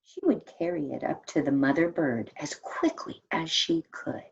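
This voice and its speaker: A woman reading a children's story aloud in an expressive, deliberate manner with dramatic emphasis. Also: deep voice, creaky, breathy edge. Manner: animated, deliberate, dramatic emphasis for young listeners. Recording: dull, lo-fi sound.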